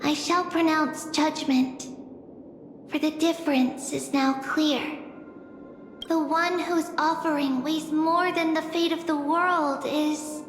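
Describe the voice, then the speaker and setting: A woman's voice: high-pitched, fairly even intonation, slow, slight background noise. A woman playing a solemn female oracle in a video game, pronouncing judgment in a ceremonial, formal way. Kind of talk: English video game voice acting. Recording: echoey hall reverb, faint music behind.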